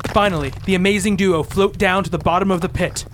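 A man's voice: sits high, fairly even intonation, quick pace. A man narrating a comic-book radio play in a melodramatic, suspenseful scripted style. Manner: dramatic, suspenseful.

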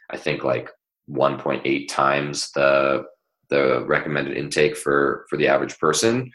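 A man with a very low voice works through nutrition advice for athletes, stopping often to restart his sentences. The sound carries a noticeable roomy echo around him. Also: fairly bright tone. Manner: hesitant, many fillers and restarts, explanatory. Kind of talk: casual podcast conversation.

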